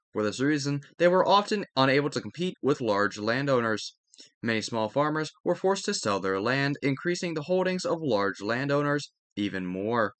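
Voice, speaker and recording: A man's voice: mid-range voice, fairly bright tone, dry recording. A man reading a textbook aloud as a lesson, now and then stumbling and repeating a phrase to correct himself. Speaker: reader narrating a history textbook aloud. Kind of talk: educational read-aloud with brief asides.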